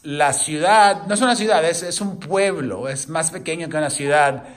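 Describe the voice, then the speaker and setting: A man's voice: a little high, moderate pace. A middle-aged man in a home living room, chatting with his children and patiently coaxing them with questions, recorded by a camera across the room. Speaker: parent vlogger. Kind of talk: casual family chat.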